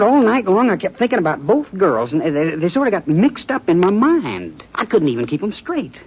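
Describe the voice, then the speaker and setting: Hesitant, high-voiced young American cowboy sweetheart. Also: male voice, husky. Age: young adult. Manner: hesitant, stammering, earnest. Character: earnest, lovestruck young man of the Old West. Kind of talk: 1950s radio drama acting. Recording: muffled old radio broadcast.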